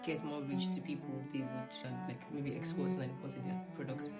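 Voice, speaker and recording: A woman's voice: a bass voice, little pitch movement, normal speed. A woman with a Nigerian accent explaining things casually and conversationally as a panelist. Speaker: panelist on a history discussion series. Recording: music playing behind the voice.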